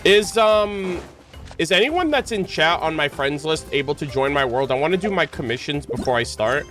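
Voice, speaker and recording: A man chatting casually on a livestream as he streams games, rambling along in a halting way. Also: a little high, expressive, a bit bright. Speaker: game streamer. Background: background music.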